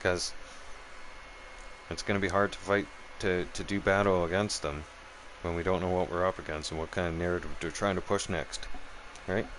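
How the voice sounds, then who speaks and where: A man's voice: sits low, fairly even intonation, normal speed, a bit bright, a little hiss or hum, low-quality recording. A man ranting on a live stream, swearing hard and keeps asking "right?" and "okay?". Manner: profane, heated, keeps checking in with "right?" and "okay?". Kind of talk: angry political rant on a live stream.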